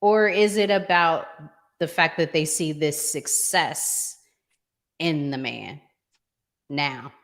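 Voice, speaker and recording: A middle-aged American woman hosting a conversational relationship show, speaking in an emphatic, deliberate way with strong opinions. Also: sits low, a bit bright. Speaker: podcast host.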